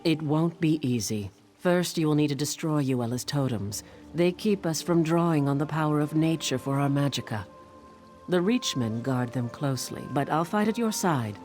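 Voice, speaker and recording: A woman's voice: deep voice, animated, normal speed, a little mellow, faint music behind. A woman playing a fierce young forest shapeshifter witch in a video game, speaking in a blunt, guarded manner. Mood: blunt, defiant. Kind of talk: video game character dialogue.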